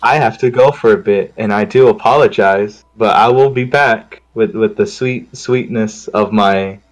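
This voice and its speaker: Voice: medium pitch, measured pace, poor audio. A guest joking casually and playfully, with mock drama, during a group game playthrough.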